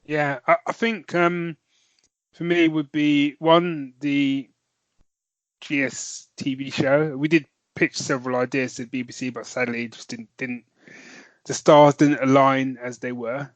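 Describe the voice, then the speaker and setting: A man's voice: mid-range voice, flat delivery, unhurried, dry recording, poor audio. A man co-hosting a podcast in casual chat, rambling and correcting himself, laughing easily. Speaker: podcast co-host and novelist.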